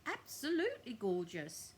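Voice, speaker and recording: A woman voicing a shy, lovestruck man in a children's storybook, speaking politely in a measured, solemn way. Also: fairly high pitch, huge pitch swings, darkish tone, slight background noise. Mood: polite, admiring.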